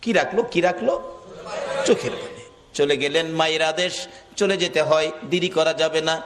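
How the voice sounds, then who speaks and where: A man's voice: a little high, moderate pace, a bit bright, slight background noise. A man preaching an Islamic sermon as dramatic, impassioned storytelling, moving between narration and melodic, tearful cries. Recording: long echoing hall reverb.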